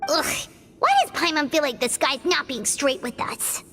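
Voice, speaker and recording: A woman voicing a small, nosy, childlike fairy companion in a video game, with a squeaky voice and a blunt, questioning manner. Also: normal speed, bright, forceful tone, in a large hall, slight background noise. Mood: suspicious. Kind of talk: video game character acting.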